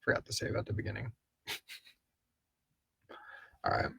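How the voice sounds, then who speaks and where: A man's voice: moderate pace, bright, forceful tone, no echo. A man hosting a podcast, chatting casually and thinking aloud as he answers listener questions. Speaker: podcast host and creator. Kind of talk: casual live Q&A chat.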